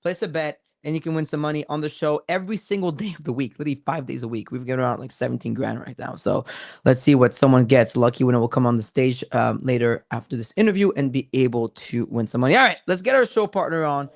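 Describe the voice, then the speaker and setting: A man hosting a casual crypto podcast, talking in loud, swearing, hyped banter with his co-hosts and guest. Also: mid-range voice, fast, gravelly, no echo, studio quality. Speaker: podcast host. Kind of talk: casual crypto show chat.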